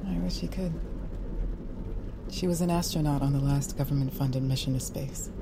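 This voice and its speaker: An actress playing an earnest, bereaved daughter of an astronaut in an audio drama, speaking in a hesitant, sincere way. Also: female voice, extremely low pitch, normal speed, noisy background. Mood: wistful.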